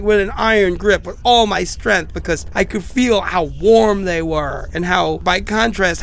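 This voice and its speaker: Anxious sick castaway, high creaky voice, hesitant wry rambling, horror audio diary, faint noise behind. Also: male voice, a bit bright, exaggerated voice. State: sick with a cough. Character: stranded, sick man leaving anxious, rambling recorded messages. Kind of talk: horror audio drama monologue as recorded diary.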